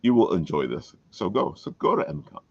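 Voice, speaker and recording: Guy hosting a casual show, lively swings in pitch, folksy, repeats himself, dull lo-fi audio. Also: brisk, no echo. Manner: folksy, emphatic repetition. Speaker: show host. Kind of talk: casual promotional livestream chat.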